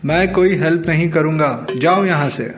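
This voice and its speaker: A flustered village husband played by a man in a cartoon comedy, with a fairly high voice. He frets and complains melodramatically, with music clearly behind him. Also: moderate pace, lightly acted. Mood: impatient. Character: anxious, exasperated, unschooled village husband bluffing. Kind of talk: cartoon comedy story dubbing.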